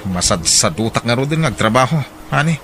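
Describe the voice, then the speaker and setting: A man's voice: mid-range voice, lively intonation, fairly quick, loud music behind the voice, natural voice. A man with an Ilocano accent playing a harsh, hot-tempered husband and father in a radio drama, scolding and emphatic. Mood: pleading, amorous.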